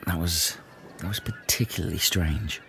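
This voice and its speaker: A man's voice: low voice, normal speed, creaky, bright, forceful tone, slight background noise, heavily acted. A man playing a level-headed, apologetic castaway in an amateur comedy audio drama.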